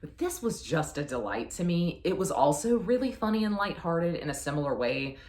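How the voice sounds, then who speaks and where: A woman's voice: fairly low pitch, big pitch swings, moderate pace, darkish tone. A woman chatting casually as a book reviewer, effusive and emphatic with a playfully self-deprecating manner. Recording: roomy, echoey sound.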